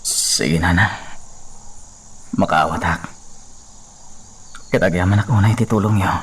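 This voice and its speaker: A man's voice: sits low, a little slow, breathy edge, exaggerated voice. An actor playing a grieving, respectful young man in a radio drama, with an Ilocano accent. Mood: subdued, grateful.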